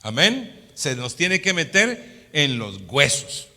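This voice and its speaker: A man's voice: fairly high pitch, sing-song, slow, pushed and bright. A man with a Latin American Spanish accent teaching a sermon as a pastor, in a didactic manner, often checking for agreement with short tag questions. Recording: long hall reverb.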